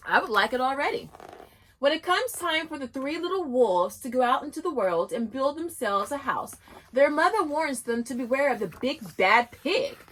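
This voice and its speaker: A woman's voice: high-pitched, lively intonation, normal speed, a bit bright, poor audio. A woman giving a chatty, enthusiastic review of children's books and reading short excerpts aloud. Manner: chatty and enthusiastic, with frequent restarts and laughs. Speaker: homeschool book reviewer. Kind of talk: casual book review with read-aloud excerpts.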